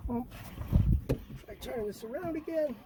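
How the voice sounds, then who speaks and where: A man's voice: very high voice, normal speed. A middle-aged man thinking aloud in a folksy, casual way as he narrates a hands-on how-to job inside a vehicle. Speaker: DIY car project host. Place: inside a vehicle cabin, doors open. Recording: clear background noise, handling clicks.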